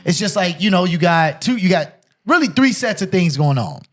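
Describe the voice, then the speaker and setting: A man's voice: high voice, big pitch swings, moderate pace. A man from Chicago, a teacher, co-hosting a podcast and talking in a laid-back, slangy way. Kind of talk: casual chat.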